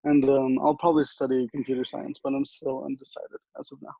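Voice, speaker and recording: A man's voice: mid-range voice, little pitch movement, fairly quick, gravelly, dark tone, husky, no echo. A young man explaining a project as a student presenter, on dull lo-fi audio. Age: young adult.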